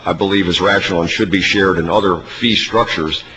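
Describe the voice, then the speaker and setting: Bright-voiced man testifying formally in a reverberant hall. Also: low-pitched, steady tone. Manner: formal, measured, deliberate. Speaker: advertising industry representative testifying at a legislative hearing. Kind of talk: formal prepared testimony and answers. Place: large hearing room. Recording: reverberant hall, faint background noise.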